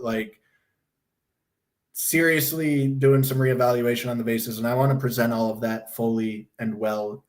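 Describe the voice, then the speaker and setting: A young man chats casually on his livestream, rambling from point to point. His voice is hoarse and going from a sore throat. He is blunt and swears without a second thought, at an ordinary middle pitch. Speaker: livestream host.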